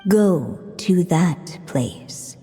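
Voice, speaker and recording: A woman playing a mysterious storybook narrator, reading a fairy tale with measured solemnity in a video game. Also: fairly low pitch, lively intonation, dark tone. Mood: solemn, mystical. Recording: long hall reverb over music.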